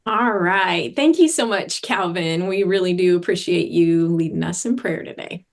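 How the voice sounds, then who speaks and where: A woman's voice: on the low side, moderate pace, gravelly. A woman hosting a training session on a video call, introducing speakers in an upbeat way.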